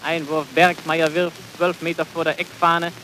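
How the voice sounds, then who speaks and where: Man, old-style football commentator, flat delivery, hissy old radio recording. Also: fairly high pitch, normal speed, low-quality recording.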